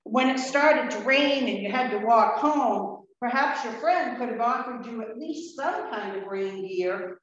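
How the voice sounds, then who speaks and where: A woman giving a children's message in a church, slowly posing what-if questions to a child, recorded from afar in the sanctuary. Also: on the high side, slight background noise. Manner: slow, gentle questioning of a child. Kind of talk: children's sermon storytelling. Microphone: lectern mic from a far camera. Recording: echoey, dull church room recording from afar.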